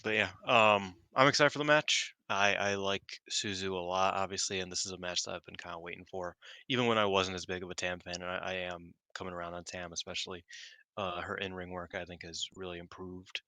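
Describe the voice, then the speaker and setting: A man with a very low, sharply bright voice co-hosting a podcast. He talks bluntly and offhandedly and swears now and then, close to a dry mic. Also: fairly even intonation, a little fast, clear, resonant tone, dry recording. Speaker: podcast co-host. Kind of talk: casual wrestling podcast chat.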